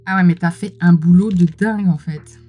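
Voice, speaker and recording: A woman's voice: on the low side, fairly even intonation, moderate pace, mellow. Gushing, slightly ill woman with background music. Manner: gushing, informal, exclaims often. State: mildly ill, clearing throat. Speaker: host of a tarot unboxing channel. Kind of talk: casual unboxing commentary.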